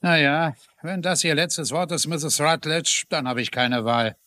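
An actor playing a folksy middle-aged sheriff in a TV detective drama, with hesitant hems and official phrasing. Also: male voice, a little high, unhurried, pushed and bright. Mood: grave, accusing. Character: folksy middle-aged small-town sheriff, plodding but dutiful. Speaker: actor in a dubbed crime drama. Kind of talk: German-dubbed TV detective drama acting.

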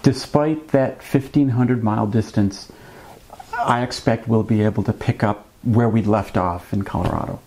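A man in his fifties hosting a fishing vlog, explaining things to camera in a conversational, measured way with wry asides. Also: on the low side, dark tone. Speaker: fishing channel host. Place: small indoor room at a desk with a computer.

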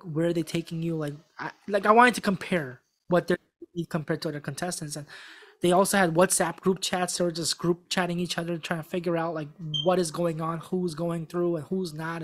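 Chatty young man telling a story. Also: a little high. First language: Korean. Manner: animated storytelling with many restarts. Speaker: podcast guest, former contestant. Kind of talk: casual interview talk. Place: small room with a gray backdrop. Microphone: studio mic on a stand in front.

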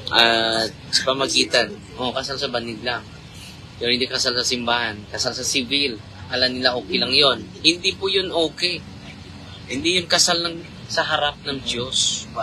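Man, priest, casual live chat, laughs a lot, bright tense voice, faint road hum. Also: mid-range voice, fairly even intonation, moderate pace, poor audio. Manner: chatty, laughs often, switches between Tagalog and Cebuano. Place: inside a car in traffic at night. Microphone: phone held at arm's length.

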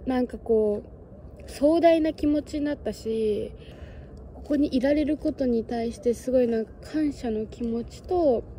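A young Japanese woman with a high but mellow voice talks casually into a phone camera outdoors. She muses and chats in a relaxed vlog style, with faint open-air ambience behind her. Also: unhurried, slight background noise. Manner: chatty, musing, talks to birds and cats in a sing-song voice. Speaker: travel vlogger. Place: outdoors on a windy hilltop fortress. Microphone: phone camera close by.

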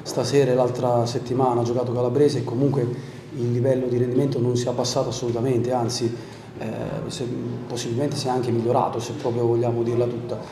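A man in his forties, an assistant football coach, answering questions at a post-match press conference into a desk microphone, measured and thinking aloud with many fillers. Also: medium pitch, little pitch movement. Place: press room in front of a sponsor wall. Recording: roomy press room with crowd noise.

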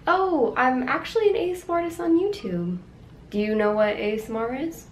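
A woman's voice: high voice, animated, a little fast. A woman in her twenties chatting in a casual skit, explaining things in a hesitant, awkward way. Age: 20s. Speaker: ASMR video creator acting out a skit. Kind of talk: casual conversational comedy skit. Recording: echoey room with background noise.

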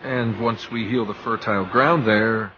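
An actor playing a sarcastic young rogue adventurer who talks in an offhand, wry way. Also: male voice, on the low side, steady tone, measured pace, lo-fi sound, a little hiss or hum, close to an everyday voice.

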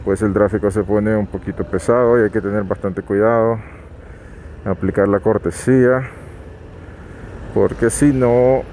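A man calmly narrating a motorcycle vlog while riding, giving unhurried advice with some restarts. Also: lowish voice. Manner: calm, advising, unhurried with restarts. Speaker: motovlogger. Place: riding a motorcycle on a highway outdoors. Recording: road and wind noise.

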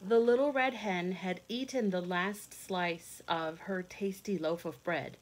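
A woman in her thirties reading a picture book aloud for children in a deliberate, sing-song, teacherly way, with asides to the listeners. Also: fairly low pitch, low-quality recording. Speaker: read-along storyteller for children. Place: indoor rooms at home.

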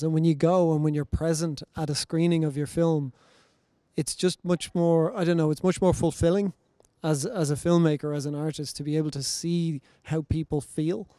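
Mellow-voiced man, thoughtful and rambling. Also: fairly high pitch, no echo. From Ireland. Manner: thoughtful, rambling, many fillers. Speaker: film director. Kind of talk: casual panel conversation. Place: large bright festival lobby. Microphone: handheld mic.

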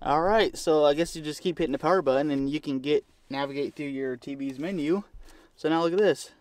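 A man's voice: fairly high pitch, a little fast, no room sound. A young adult man with a North American accent, chatting casually and enthusiastically to camera as a camping vlogger inside a parked vehicle. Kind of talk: casual vlog chat to camera. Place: inside a parked truck at night.

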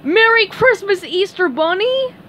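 A woman acts out a boisterous, childishly eager male cartoon starfish. The voice is loud and high with big swoops, told storybook style over faint noise. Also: animated, a little slow, heavily acted. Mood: cheerful, confused.

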